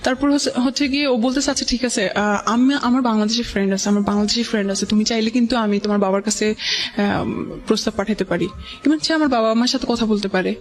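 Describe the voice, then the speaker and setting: A woman's voice: fairly high pitch, fast talker. A young woman with a Bangladeshi accent telling a personal story conversationally as a guest, hesitantly, with English words mixed in and quoted dialogue. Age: young adult. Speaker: guest sharing life story.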